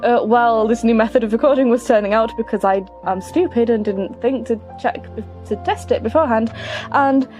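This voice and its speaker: A woman's voice: fairly high pitch, normal speed, a little mellow, soft background music. A woman giving casual, rambling, self-deprecating commentary over a game playthrough. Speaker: gaming video creator.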